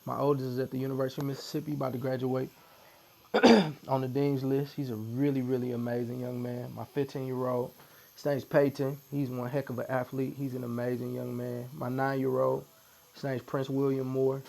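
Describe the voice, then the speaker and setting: A man's voice: mid-range voice, brisk, vocal fry. A man in his forties from Missouri, chatting casually and joking as a guest while getting tattooed. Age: 40s. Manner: relaxed, joking, laughs often. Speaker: podcast guest. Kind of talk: casual conversation. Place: tattoo studio, being tattooed.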